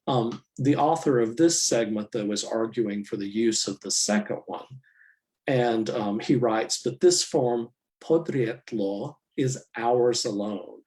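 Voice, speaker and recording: A middle-aged man, a university professor, giving a public lecture in a measured, explanatory way with frequent fillers. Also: medium pitch, a little mellow, low-quality recording. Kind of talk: academic lecture.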